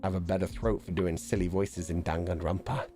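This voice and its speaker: A man's voice: deep voice, fairly even intonation, a little fast, over music. Laid-back man with a sore throat. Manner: easygoing, laughs often, swears casually. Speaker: livestreamer. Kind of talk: casual chat reading viewer donations.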